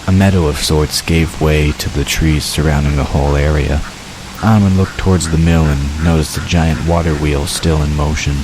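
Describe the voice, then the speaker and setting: A man's voice: extremely low pitch, steady tone, normal speed. A man reading scripted story narration for an audio drama, with watery ambience behind him. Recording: constant rain-like hiss behind voice.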